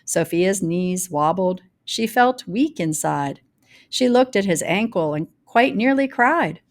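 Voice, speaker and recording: A woman reads a children's picture book in rhyme. Her pitch sits in the middle for a woman. She tells it expressively and deliberately, leaning on key words for dramatic effect. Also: animated. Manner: dramatic, deliberate storytelling with varied emphasis. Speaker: storybook reader.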